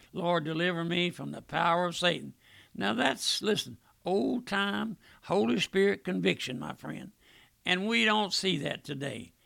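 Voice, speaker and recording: Fiery gravelly preacher man. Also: high-pitched, no echo, clean studio sound. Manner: impassioned, repeats "my friend" with a dropped, hushed voice. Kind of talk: fiery revival sermon.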